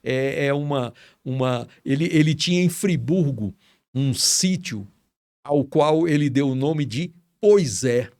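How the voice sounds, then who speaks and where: A man's voice: medium pitch, takes it slowly, darkish tone, no echo, studio quality. A middle-aged man from Ceará, Brazil, a writer telling stories with enthusiasm and admiration as a guest in an interview. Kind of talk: storytelling in a casual interview.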